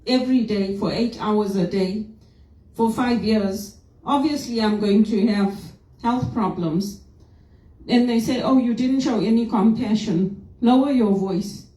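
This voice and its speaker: A woman's voice: medium pitch, dark tone. A woman from Zimbabwe giving an informal, earnest and opinionated personal reflection with a religious side. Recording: roomy echo, distant from microphone.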